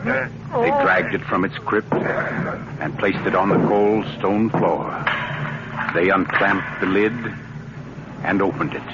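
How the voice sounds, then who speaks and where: A man narrating a 1940s radio suspense story in a deliberate, dramatic manner. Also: sits low, big pitch swings. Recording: muffled, noisy old radio broadcast.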